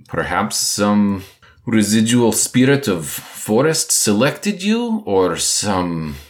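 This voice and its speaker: A man doing an earnest villager translator for a tabletop game, speaking halting, broken English and dropping his articles. The voice sits very low and moves slowly and deliberately.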